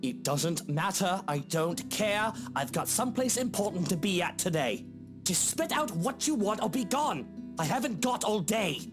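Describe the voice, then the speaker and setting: A woman's voice: mid-range voice, big pitch swings, normal speed, over music, natural voice. A woman voicing an irate, haughty upper-class man, speaking in a pompous, curt and condescending way for a visual novel. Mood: impatient, dismissive. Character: irate, haughty, sharp-witted British upper-class husband.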